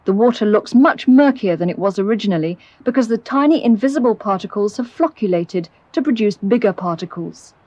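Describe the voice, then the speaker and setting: A British woman reading scripted narration for an educational film, explaining in a clear, measured way. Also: mid-range voice, lively intonation, darkish tone, no echo, lo-fi sound. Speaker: documentary narrator. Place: close, dry voice-over recording.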